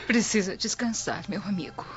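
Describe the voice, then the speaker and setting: A woman playing a gentle, pious monastic healer in a radio drama, consoling with tender, solemn, measured delivery. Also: mid-range voice, expressive, close to an everyday voice. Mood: gentle reassurance. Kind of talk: old radio drama acting. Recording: dull lo-fi radio drama with music.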